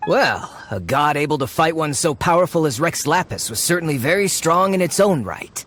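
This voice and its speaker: A man's voice: fairly high pitch, expressive, moderate pace, a bit bright. An actor voicing a sly, greedy treasure-seeking man with theatrical delivery in a video game. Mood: sly, scheming. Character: greedy, eager treasure-seeking man feigning scholarly interest.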